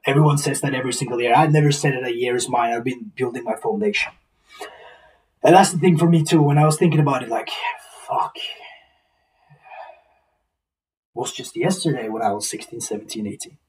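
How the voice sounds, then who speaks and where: A man's voice: medium pitch, brisk, pauses often, darkish tone, far from the mic, rough recording. A man of about twenty talking to camera in a rambling, reflective casual monologue, repeating himself and swearing now and then. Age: 20s. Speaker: vlogger. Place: small plain indoor room.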